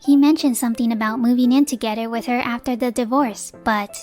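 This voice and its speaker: A woman's voice: a little high, fairly even intonation, a little fast, a little mellow, soft background music, lightly acted. Woman acting resolute young mother in a manga drama. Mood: matter-of-fact. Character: wronged young mother, resolute wife divorcing her cheating husband. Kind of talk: scripted first-person narration, manga dub.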